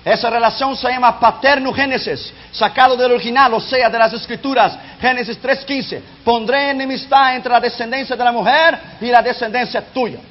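A man preaching an emphatic sermon who learned his Spanish as a second language, dropping low and quiet at the ends of phrases. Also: fairly even intonation, normal speed, hardly any pauses. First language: not Spanish. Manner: emphatic, drops pitch and volume sharply at phrase ends. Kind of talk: fiery sermon. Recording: dull lo-fi sound.

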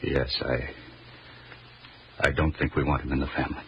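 Patient loving father, very low voice, halting and earnest, old radio drama, muffled with faint noise. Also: level tone. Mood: sober, reasoning. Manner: earnest, gently reasoning, halting. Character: patient, loving middle-aged father and husband, financially strained.

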